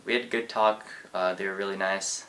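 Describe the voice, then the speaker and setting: Laid-back teenage boy, low voice. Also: little pitch movement, fairly quick, fairly bright tone. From Japan. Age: teens. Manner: laid-back, rambling with fillers. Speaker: vlogger. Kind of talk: casual storytelling.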